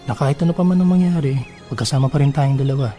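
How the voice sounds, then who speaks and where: A man playing a devoted, reassuring boyfriend in a radio drama, coaxing gently with a Filipino accent. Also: mid-range voice, very fast, faint music behind, lightly acted. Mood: tender, reassuring.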